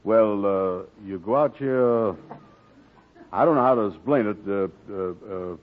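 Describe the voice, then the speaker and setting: Man doing a low-voiced bluffing fake soldier, stammering, hesitant, 1940s radio comedy, tinny old broadcast. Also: unhurried, close to an everyday voice. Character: bumbling smooth-talking man posing as soldier. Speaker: Andy, comic lead.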